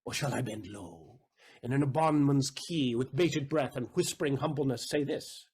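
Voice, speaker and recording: Guy acting a bitter sly old-world moneylender, stage shakespeare, big swoops in pitch, rough voice, talks like speeches. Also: expressive, a little mellow. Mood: bitter, sardonic. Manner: deliberate, rhetorical, mock-humble and sardonic. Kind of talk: theatrical Shakespearean audio drama acting.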